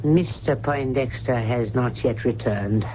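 A man's voice: medium pitch, a little slow, lightly acted. A man acts out a loyal butler in a radio drama. He is formal and deferential, discreetly attentive to his employer. The recording is muffled, with faint hiss. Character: faithful, deferential servant of a wealthy household. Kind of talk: radio mystery drama acting. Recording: dull, hissy 1970s radio recording.

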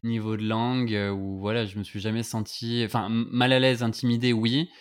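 A man's voice: medium pitch, level tone, a little fast, clean tone. A young man from France chatting casually about his student exchange, hesitating often with fillers and restarts. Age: young adult. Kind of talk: casual podcast conversation.

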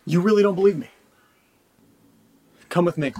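A man's voice: sits high, normal speed, dark tone, lightly acted. A man playing an anxious, earnest father who has just moved house, in a naturalistic audio drama. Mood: earnest, uneasy. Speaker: narrator and lead character of audio drama. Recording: roomy echo, faint background noise.

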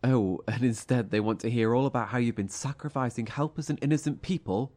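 A man acts out a fiery male survivor of nightmares in a horror audio drama. His voice sits at a middle pitch, and he talks bluntly, with sarcasm and confrontation, as someone sick of being toyed with. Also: brisk, darkish tone, lightly acted. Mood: bitter, angry.

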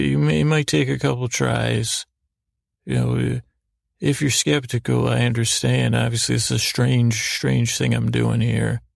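A man hosting a bedtime podcast, rambling haltingly through pointless, silly asides in a creaky, gravelly voice meant to lull listeners to sleep. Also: medium pitch, darkish tone, no room sound, clean studio sound. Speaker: podcast host.

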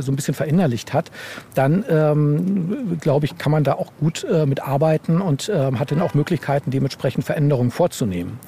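A man answering as a guest author in a podcast interview, speaking conversationally and haltingly, often tagging phrases with a short questioning word. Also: fairly high pitch, warm tone, a little hiss or hum. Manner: halting, ends phrases with tag "ne?".

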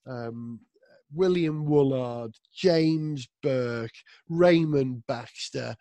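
A man's voice: medium pitch, very slow, dry recording. A middle-aged man from Wales with only a faint accent, chatting animatedly as a guest on a video call. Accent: light, not strongly Welsh; often taken as West Midlands. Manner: animated, enthusiastic storyteller. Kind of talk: casual interview chat. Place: home studio in London.

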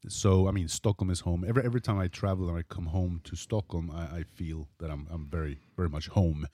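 A Swedish man in his forties talks casually as a guest. His voice sits low and sounds warm and mellow, and he restarts his sentences as he goes. The recording is dry and close. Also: dry recording. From northern Sweden. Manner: relaxed, halting with frequent restarts. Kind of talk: casual chat.

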